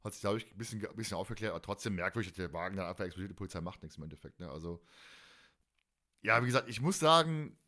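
A man's voice: sits low, animated, rapid-fire, sharp tone. Man in his forties, colloquial rambler. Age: 40s. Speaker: fan podcast host. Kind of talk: casual chat.

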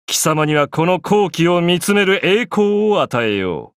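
A man's voice: a little high, very animated, measured pace, no room sound, studio quality. An actor playing a proud, imperious young pharaoh and godlike sun king in an anime game, speaking in grandiose, archaic phrases. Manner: pompous, grandiose, archaic speech.

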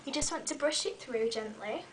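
A young woman in her twenties, recovering from a cold and a bit croaky, chatting casually through a hair tutorial in her bedroom. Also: high-pitched, normal speed, recorded from a distance, a little hiss or hum. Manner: chatty, talks while styling hair, many ums. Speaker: beauty vlogger. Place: small bedroom with fairy lights. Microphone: webcam a little way off.

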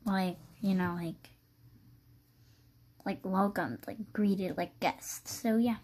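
A young woman with a mellow, slightly hoarse voice talks through a game house tour, rambling loosely with lots of "like" and "um." Her pitch sits in an ordinary middle range, and the delivery stays casual and unpolished. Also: takes it slowly. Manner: rambling, many likes and ums. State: voice low and hoarse from screaming. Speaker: video creator.

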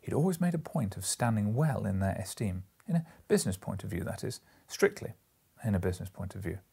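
A man in his forties giving an expressive, measured dramatic reading of a classic tale, sometimes in hushed tones. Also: lowish voice, gravelly, slightly rough. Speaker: storybook reader. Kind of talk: dramatic audiobook-style reading of a Victorian ghost story. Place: indoor living room, seated in an armchair.

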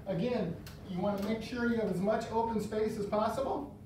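A man's voice: sits high, fairly even intonation, a little fast, a little mellow, a little hiss or hum. Male fitness coach, encouraging, step-by-step cues, very echoey room, distant mic. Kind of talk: instructional exercise class. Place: large bare indoor room. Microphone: far camera.

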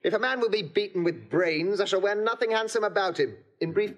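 An actor playing a witty, boisterous bachelor in a classical audio comedy, jovial, teasing and declamatory. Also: male voice, high-pitched, a little fast, a bit bright, muffled recording. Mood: jubilant. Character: witty, boisterous confirmed bachelor giving in to love.

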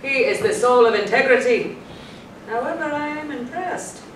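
A woman acts a reserved, brisk nun in a stage play reading. She is heard from a distance in a roomy space, over faint noise. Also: sits high, lightly acted. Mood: brisk, guarded. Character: brisk, reserved female nun, devout, guarded. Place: small indoor performance room with audience. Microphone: far camera.

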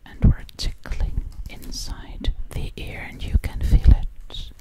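Very slow breathy whispering woman. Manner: slow, soothing, repeats words over and over. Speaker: ASMR creator. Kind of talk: ASMR whispering to the listener. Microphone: close ASMR microphone.

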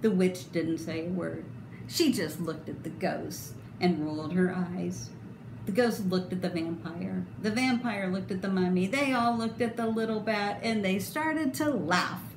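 A middle-aged woman reading a children's picture book aloud expressively, with deliberate, dramatic emphasis. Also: on the low side. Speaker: storybook reader. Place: indoor room, voice a little echoey. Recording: roomy echo, clear background noise.

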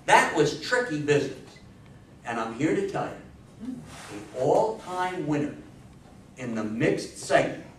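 A man in his sixties giving an animated talk to an audience, telling stories emphatically, repeating phrases and asking questions. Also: fairly high pitch. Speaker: lecturer on old-time radio history. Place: meeting room with seated older audience. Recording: roomy, distant camera mic with background noise.

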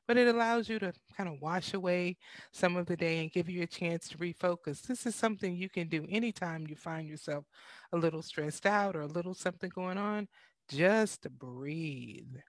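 A middle-aged American woman hosting a show in a measured, instructional way, speaking on a headset. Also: on the low side, dry recording. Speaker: talk show host. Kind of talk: guided breathing and show hosting. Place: indoor room with bookshelves, video call.

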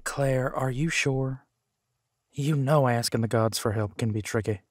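A man's voice: mid-range voice, measured pace, dry recording, studio quality, natural voice. A man playing a protective, caring werewolf pack leader in an audio drama, with a casual, reassuring manner. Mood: steady, diplomatic. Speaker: voice actor.

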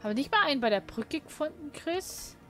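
A woman's voice: sits high, lively intonation, brisk, faint music behind. A woman chatting casually as co-commentator while someone plays a video game. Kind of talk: casual chat during gameplay.

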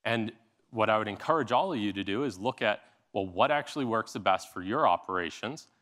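American man presenting, lowish voice. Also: lively intonation, a little fast, fairly bright tone. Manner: explanatory, conversational, posing rhetorical questions. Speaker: company founder and CEO giving a keynote. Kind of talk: keynote presentation. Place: large indoor stage at a summit. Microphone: clip-on lapel mic.

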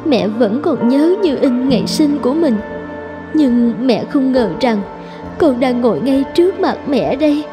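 A woman's voice: high voice, expressive, unhurried, music in the background. A woman playing an earnest, emotive young nurse and mother, tender and sorrowful, in a cartoon drama. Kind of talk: dramatic cartoon dubbing in Vietnamese.

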